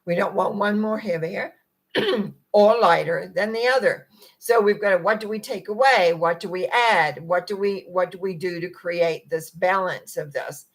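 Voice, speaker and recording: A middle-aged woman with a croaky, husky voice gives a gentle, meandering spiritual talk and often clears her throat. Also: fairly low pitch, a little slow, poor audio. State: croaky, rough voice. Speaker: spiritual teacher. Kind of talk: reflective talk to viewers.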